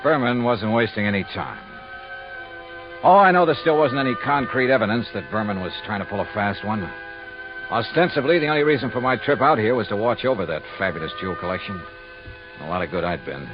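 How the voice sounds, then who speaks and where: A man's voice: on the low side, animated, a little fast, lightly acted. Guy playing a hard-boiled radio detective, really swingy wry delivery, muffled old recording with music behind. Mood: wry, brisk. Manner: clipped, wry, insistent. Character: shrewd, hard-boiled male insurance investigator. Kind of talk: 1950s radio detective narration and acting.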